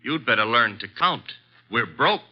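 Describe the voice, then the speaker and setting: An actor playing a wry, long-suffering detective's assistant in a 1950s radio drama, needling and narrating. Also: male voice, mid-range voice, expressive, moderate pace, natural voice. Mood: pleading, needling. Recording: dull vintage radio recording.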